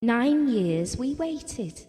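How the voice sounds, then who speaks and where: A woman's voice: a little high, sing-song, drawn-out words, dark tone, heavily acted. A woman acting a wistful, devoted wife of about forty in an audio drama, telling her story in a reflective, intimate way. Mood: wistful, gentle. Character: Ellen, wistful, devoted wife around forty, quietly wounded. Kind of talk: theatrical audio drama monologue and dialogue. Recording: long hall-like reverb.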